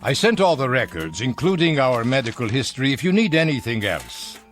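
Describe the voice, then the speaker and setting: A man's voice: medium pitch, sing-song, a little fast, soft background music, close to an everyday voice. An actor playing a kindly, ailing man of seventy-nine in a radio drama, patient, polite and reassuring. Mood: polite, uneasy. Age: older.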